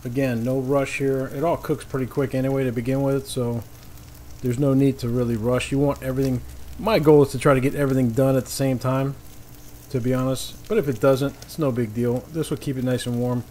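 A man's voice: mid-range voice, fairly even intonation, brisk, dark tone. A man hosting a casual cooking demonstration outdoors, giving easygoing, informal instructions with folksy phrasing. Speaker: cooking show host. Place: outdoor paved patio beside a griddle. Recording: clear sizzling griddle noise behind voice. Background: frying and sizzling food.

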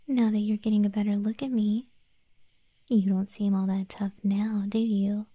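A woman playing a protective gargoyle monster girl in an ASMR roleplay, speaking softly and breathily, close to the microphone. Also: medium pitch, no room sound. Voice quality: soft, breathy, whispery. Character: protective gargoyle monster girl guard, stern then sweetly deferential. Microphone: close microphone, dry.

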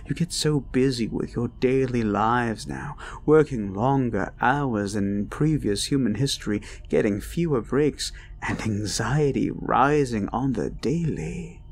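A man playing an ancient, cruel incubus demon in a dark roleplay audio, mocking and gloating, with slow menace and breathy whispers. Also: mid-range voice, animated, a little hiss or hum. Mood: sinister taunting. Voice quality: creaky, breaking into breathy whispers. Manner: slow, menacing, taunting, drawn-out. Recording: ominous music behind the voice.

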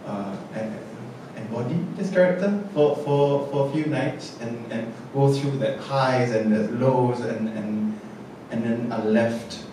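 A man, a theatre director speaking hesitantly and reflectively on a panel after a show, with many fillers and restarts. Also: lowish voice. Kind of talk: post-show panel discussion. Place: large theatre stage. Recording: echoey theatre from a distance with noise.

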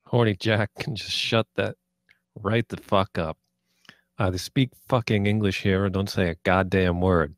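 A man's voice: deep voice, steady tone, unhurried, dry recording, clean studio sound. A man playing a crude, domineering gambler who runs a poker table, curt and commanding, in a script table read. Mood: menacing, curt.

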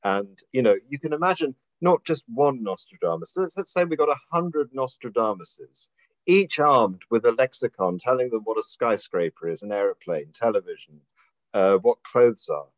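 A man's voice: medium pitch, lively intonation, moderate pace, no echo. An older man moderating a video call discussion, posing thoughtful questions. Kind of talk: casual academic discussion. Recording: dry, dull video call audio.

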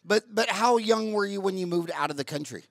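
An older man hosting an interview podcast on a headset mic, talking in an animated, ranting way and repeating himself. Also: high voice, fairly quick, gravelly, dry recording, clean studio sound. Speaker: podcast host. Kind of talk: casual interview chat. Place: home studio with wood-panel wall.

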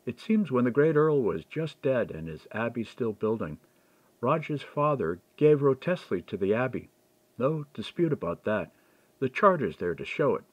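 A man's voice: medium pitch, very animated, moderate pace, no echo. A man reading audiobook dialogue as a candid, shrewd clerk who was once a monk. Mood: explaining. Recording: dull, lo-fi dry recording.

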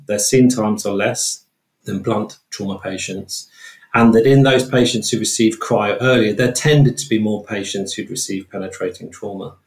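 A British man, a trauma researcher, explaining findings in an interview in a measured, technical way, with a roomy sound. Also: lowish voice.

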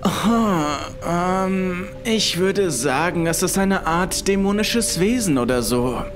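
Man playing a skeptical scientist, raised pitch. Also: lively intonation, slow. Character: skeptical, dismissive male ocean scientist. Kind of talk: horror audiobook character dialogue. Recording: music behind the voice.

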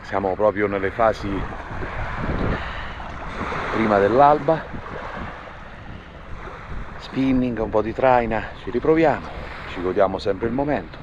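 Relaxed man on a sea kayak. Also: fairly low pitch, a little slow, pauses often. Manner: relaxed, easygoing commentary. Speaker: fishing vlogger. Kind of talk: casual outdoor vlog narration. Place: outdoors on a kayak and beach by the sea. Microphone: action camera held at arm's length. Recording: dull action-camera audio with wind and water noise.

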